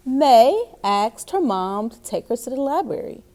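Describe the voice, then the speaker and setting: A woman reading a children's story aloud in an expressive way, speaking directly to young listeners. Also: a little high, unhurried. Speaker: story time reader. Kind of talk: reading a children's picture book aloud with asides.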